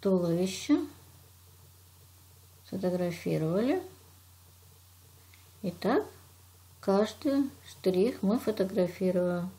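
A woman, a teacher giving step-by-step instructions in deliberate, short, simple phrases. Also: mid-range voice, animated, dark tone. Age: 60s. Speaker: children's art and animation teacher. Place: indoor room, plain wall.

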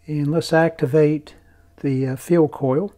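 Man with a very even, unvarying delivery. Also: on the high side, takes it slowly. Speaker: host demonstrating a vintage radio repair. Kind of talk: hands-on workbench demonstration. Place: indoor workbench.

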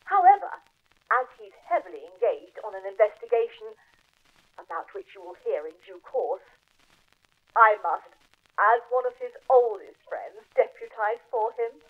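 A genteel lady writer played by a woman speaks through a thin, phone-like radio line. Her voice is fairly high and very melodic, with measured, formal 1940s phrasing. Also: animated. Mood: fond, formal. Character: genteel English female mystery author, fondly formal. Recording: tinny, phone-like transatlantic radio link.

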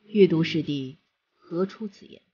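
A woman's voice: low-pitched, measured pace, mellow, dull audio, slight background noise, voice pushed down. A woman playing a grave young immortal man. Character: stern young male immortal disciple.

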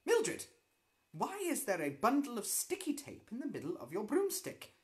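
A man's voice: high-pitched, sing-song, unhurried, pitched above the performer's own voice. A man voicing a stern, icy woman teacher at a witch school, speaking in a clipped, commanding way in a storybook reading.

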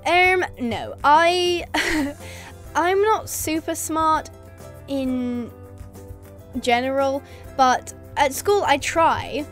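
High-voiced young woman vlogger. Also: very slow, long pauses, fairly bright tone, soft background music. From England. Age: young adult. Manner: chatty, laughs often, many ums. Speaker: YouTuber. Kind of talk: casual vlog reading viewer comments. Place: indoors in front of a green screen. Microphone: facing camera at close range.

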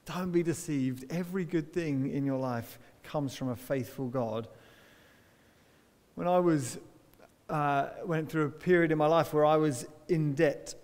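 Middle-aged pastor in a reverberant hall. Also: male voice, a little high, unhurried, a little mellow. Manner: conversational storytelling with self-deprecating laughs. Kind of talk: sermon. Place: church hall stage, indoors.

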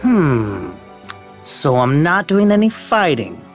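Slacker game villain: whiny, drawling, wildly swooping pitch. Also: male voice, takes it slowly, faint music behind, heavily acted. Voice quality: whiny, drawling, easily excited. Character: lazy, cowardly young man, a reluctant villain. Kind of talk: video game voice acting.

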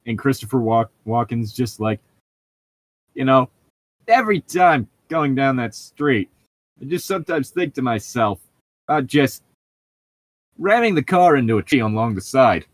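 Guy co-hosting, sarcastic and sweary, normal pitch, joking around reading a book out loud. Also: sing-song, measured pace, pauses often, no echo. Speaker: co-host reading a book aloud and mocking it. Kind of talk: casual comedic chat and mock read-aloud.

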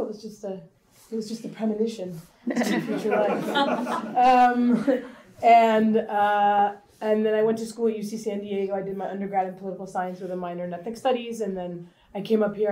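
A woman in her forties, a high school teacher from Southern California, introducing herself on a panel in a lecture room while losing her voice to a cold, in a friendly, self-deprecating way. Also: mellow. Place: lecture room, seated at table. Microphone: far camera.

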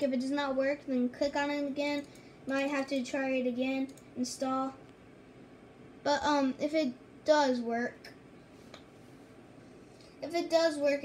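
High-voiced woman giving a halting tutorial, noisy recording. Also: a little mellow. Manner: halting, repeats and corrects herself. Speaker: tutorial host. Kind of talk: casual screen tutorial, step-by-step instructions. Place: indoors at a home computer. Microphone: handheld phone or camera, filming screen. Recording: noisy handheld camera recording, clicks.

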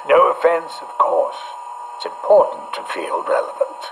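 A man's voice: a little high, sing-song, measured pace, vocal fry, full character voice. A man voicing a smug, theatrical, all-powerful figure in a video game, teasing and condescending as he talks. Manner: mocking, playful, condescending. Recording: noisy game radio message.

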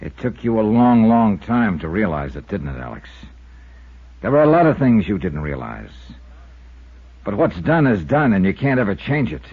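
Firm radio detective, muffled recording. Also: male voice, lowish voice, big pitch swings, moderate pace, slightly rough, heavily acted. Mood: stern, grim. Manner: firm, clipped, commanding. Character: tough, level-headed male investigator telling his own case. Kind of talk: 1950s radio drama acting and narration.

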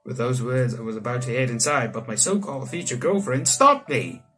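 A young man with a medium-pitched, very lively voice reads a visual novel aloud. He drifts into casual, rambling commentary and joking asides. Faint music plays underneath. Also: a little fast. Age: young adult. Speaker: let's player. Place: small room indoors.

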